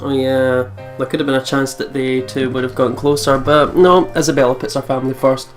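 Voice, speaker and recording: A woman's voice: rumbling low, steady tone, brisk, soft background music. A woman hosting a let's play, giving casual, chatty gaming commentary.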